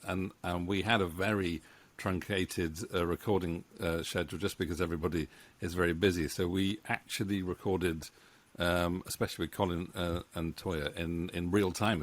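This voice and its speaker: A middle-aged man interviewing guests in a casual, halting, rambling way into a close microphone. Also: low voice. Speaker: interviewer, writer-producer. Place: indoor home room with bookshelves. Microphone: studio microphone on boom arm, close.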